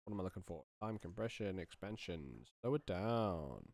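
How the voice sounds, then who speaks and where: A man's voice: sits low, big pitch swings, normal speed, darkish tone, dry recording. A man in his thirties giving a casual demonstration as a sound designer, thinking aloud at a close mic. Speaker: sound designer, tutorial host.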